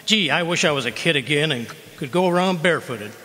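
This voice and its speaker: A man's voice: fairly high pitch, expressive, fast talker, pushed and bright. A man playing a bumbling, boastful husband in old-time radio comedy, with folksy, stammering talk. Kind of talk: 1940s radio comedy acting. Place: live stage performance in a community hall. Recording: noisy hall with long reverb.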